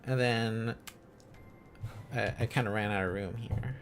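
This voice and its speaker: Slow-paced man chatting casually on a livestream. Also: fairly low pitch, fairly even intonation, soft background music. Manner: giggly, enthusiastic, rambling. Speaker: livestream host. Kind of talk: casual livestream chat while learning Korean script.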